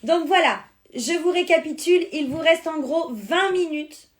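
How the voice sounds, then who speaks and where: Spanish-native lady chatting on a live sale, high voice, answers comments as they come. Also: lively intonation, moderate pace. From born in France, Spanish family. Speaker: beauty product seller on a live stream. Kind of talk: casual live-stream sales chat.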